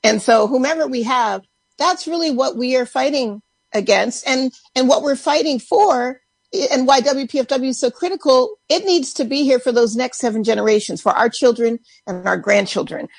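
Higher-voiced earnest woman on radio. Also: moderate pace, vocal fry, breathy edge, no room sound. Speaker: radio pledge-drive speaker. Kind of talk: unscripted live fundraising talk.

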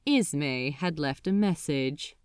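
Slow, very expressive female storyteller. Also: sits low, smooth, no room sound, studio quality. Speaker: audiobook narrator. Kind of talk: expressive scripted fiction narration.

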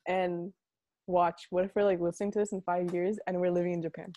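Young woman, about twenty, casual podcast co-host; mellow tone, dry close mic. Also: fairly low pitch, flat delivery, no echo. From United States. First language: Punjabi. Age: 20s. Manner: chatty, quick, laughing often. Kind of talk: casual chat.

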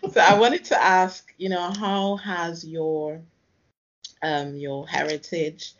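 A man's voice: a little high, fairly even intonation, slow, a bit bright. A male interviewer talks in a casual, reflective way. He builds his questions tentatively, in meandering phrases. The recording is slightly dull and lo-fi. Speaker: interviewer, host.

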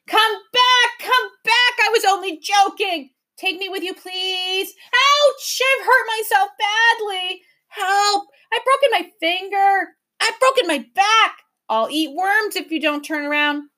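A woman voicing a mob of spiteful swamp creatures that taunt and bawl loudly, reading a storybook aloud. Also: very high voice, slow pace, few pauses, pitched above the performer's own voice, exaggerated voice. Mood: wailing. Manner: taunting, bawling, whiny.

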